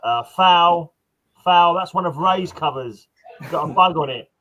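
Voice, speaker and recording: A man's voice: on the high side, unhurried, highs cut off, very noisy. A man hosting a casual panel chat, teasing his guests with cheeky banter. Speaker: host.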